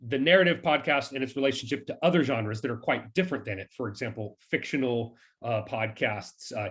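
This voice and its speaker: A man's voice: mid-range voice, fairly bright tone. A man giving a scripted academic presentation, explaining in formal terms with brief asides.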